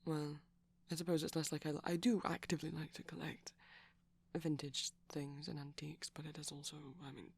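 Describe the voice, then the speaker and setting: A man doing a soft-spoken ASMR boyfriend roleplay as a shy, gentle vampire, rambling haltingly in a breathy voice that often drops to a whisper. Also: fairly high pitch, little pitch movement.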